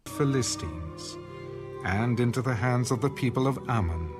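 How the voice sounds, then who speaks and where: A man reading scripted audio Bible narration in a measured, solemn manner. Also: medium pitch, expressive. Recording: music under the voice.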